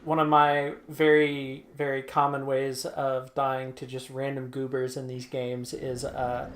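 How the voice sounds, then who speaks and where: A man's voice: medium pitch, completely flat delivery, measured pace, a bit bright. A man hosting a let's play, chatting casually and rambling through his thoughts with lots of fillers. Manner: rambling, many fillers and restarts. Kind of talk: casual gaming chat. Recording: roomy mic with audible noise.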